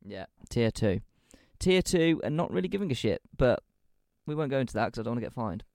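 A man's voice: mid-range voice, creaky, no echo. Twenties, male, from England, easygoing podcast co-host, mid-range voice, dry room. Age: 20s. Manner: animated, swearing, quick asides. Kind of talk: casual chat.

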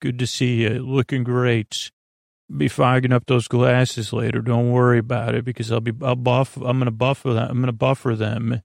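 A man's medium-pitched voice with a creaky, fried edge, recorded close and dry. He rambles through an improvised bedtime podcast monologue, stumbling over words and wandering into tangents. His delivery is absent-minded and self-deprecating. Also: flat delivery, no room sound. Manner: halting and meandering, stammers and trails off into tangents. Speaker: host of a bedtime sleep podcast.

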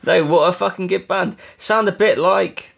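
A man's voice: high voice, moderate pace, gravelly, fairly bright tone. A man co-hosting a radio show, jokey and teasing, often laughing and swearing. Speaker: radio co-host. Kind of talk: loose banter between music tracks.

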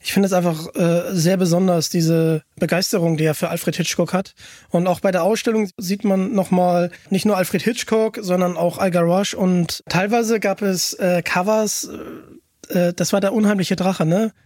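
A man's voice: high voice, steady tone, normal speed, a little mellow, dry recording, studio quality. A man hosting a casual fan podcast, chatting in an enthusiastic way. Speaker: podcast host.

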